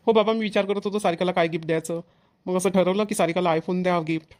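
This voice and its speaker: Casting: male actor as young village groom-to-be for cartoon, Vidarbha Marathi, earnest and eager, very brisk pace. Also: high-pitched, steady tone, darkish tone, lightly acted. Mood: earnest, dutiful. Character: eager young village bridegroom, a dutiful son. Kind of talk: amateur cartoon dialogue.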